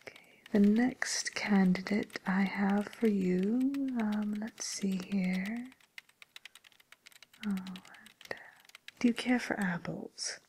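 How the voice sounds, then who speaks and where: A woman playing a genteel, businesslike matchmaker for villains in a whispered ASMR role play, murmuring politely and hesitantly in a breathy voice. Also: medium pitch, fairly even intonation, slow, long pauses, darkish tone, a little hiss or hum, rough recording. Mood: politely helpful.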